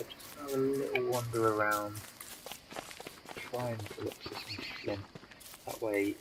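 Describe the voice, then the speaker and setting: A man's voice: on the low side, vocal fry, heavy background noise. A young man commentating his game in a casual, hesitant way, a bit stuffy from hay fever. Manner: hesitant, many ums and restarts. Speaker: gamer commentating his own play. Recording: loud game music behind.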